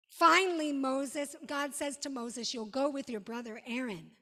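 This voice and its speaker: Lady rabbi doing a sermon, high voice, real slow and emphatic w big pauses, echoey hall. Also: pushed and bright. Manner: emphatic, dramatic pauses, short repeated phrases. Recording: long reverberant hall.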